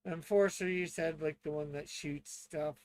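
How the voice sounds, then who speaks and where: A man's voice: fairly high pitch, steady tone, normal speed, darkish tone. A man chatting casually and thinking aloud as a player learning a tabletop game.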